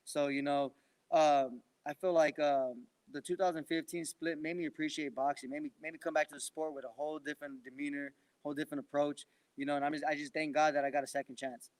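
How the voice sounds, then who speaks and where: A man's voice: on the high side, fairly even intonation, fast, no room sound, poor audio. A young man in his twenties, a boxer, answering interview questions in a loose, rambling way with many restarts. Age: 20s. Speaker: professional boxer.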